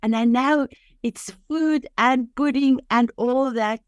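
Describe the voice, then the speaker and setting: A woman's voice: high voice, takes it slowly, smooth, no room sound, muffled recording. Older south african lady, afrikaans is her first language, heartfelt and earnest, keeps restarting her sentences, dry close sound. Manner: earnest and heartfelt, with frequent restarts and simple phrasing. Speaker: podcast guest. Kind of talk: emotional personal storytelling.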